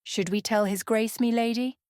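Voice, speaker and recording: A woman's voice: on the high side, steady tone, moderate pace, darkish tone, smooth, voice pushed up, lightly acted. A woman voicing an earnest, loyal young working-class maid in a storybook, colloquial and deferential. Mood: indignant, worried. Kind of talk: period storybook dialogue. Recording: music behind the voice.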